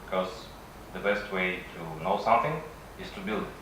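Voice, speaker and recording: A man with a Russian accent, a native Russian speaker, giving a halting conference presentation with frequent pauses. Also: sits low, very animated. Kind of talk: conference presentation, semi-improvised. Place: conference session room. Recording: echoey room from far off, faint noise.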